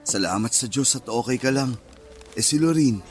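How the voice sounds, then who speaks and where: A man playing an emotional, guilt-ridden father who is quick to anger, in a radio drama. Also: medium pitch, lively intonation, faint music behind, lightly acted. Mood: shaken, concerned.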